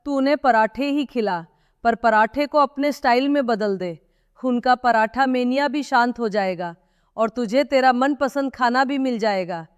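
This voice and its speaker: A woman's voice: on the high side, smooth, no echo, clean studio sound, big-room sound, lightly acted. A woman voicing a confident young friend who hands out bold advice in a cartoon story. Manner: bold, advising.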